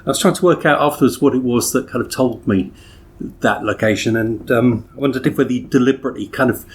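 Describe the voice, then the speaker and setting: A man's voice: medium pitch, fast. A man co-hosting a podcast, asking conversational questions that he rephrases as he goes. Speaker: podcast co-host. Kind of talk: conversational interviewing.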